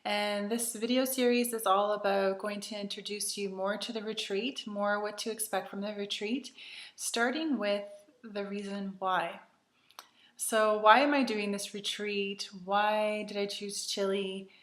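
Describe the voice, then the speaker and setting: Woman, thirties, mid-pitched, earnest chatty storyteller with lots of ums, recorded in an echoey room. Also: fairly even intonation, a little slow, fairly bright tone. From Canada. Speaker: retreat host. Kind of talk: personal storytelling to camera.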